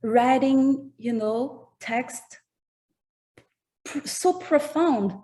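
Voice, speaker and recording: A woman with a French accent speaks slowly and thoughtfully as a guest in an interview. She often pauses to find her English words. Her native language is French. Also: high-pitched, mellow, low-quality recording. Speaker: musician guest. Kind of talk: casual interview chat.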